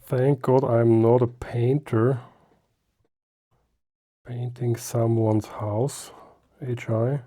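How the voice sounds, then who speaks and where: A man's voice: mid-range voice, little pitch movement, very slow, pauses often, mellow. A man giving casual, unscripted how-to commentary as he works on a hobby restoration, hesitant and thinking aloud to himself. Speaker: hobbyist host of a restoration video.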